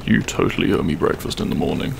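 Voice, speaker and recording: A man playing a grumpy but tender half-dragon roommate in an intimate ASMR roleplay, gruff, sleepy and halting in a soft voice. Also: deep voice, noisy background. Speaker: roleplay voice actor. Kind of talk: intimate ASMR audio roleplay, comfort scene.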